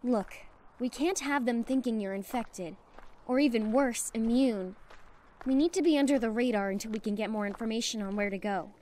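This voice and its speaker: An earnest young woman hiding secrets in an apocalypse acted by a woman, with a fairly high voice. She sounds protective and urgent, with faint noise and ambience behind her in a dramatic fan production. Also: moderate pace, a little mellow, lightly acted. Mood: worried. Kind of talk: dramatic audio visual-novel fan drama.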